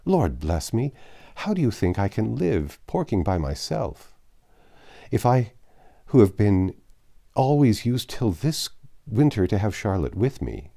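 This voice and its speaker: A man's voice: fairly low pitch, very animated, measured pace, creaky, dark tone, heavily acted. A man voicing a bustling, talkative older widow who chatters effusively.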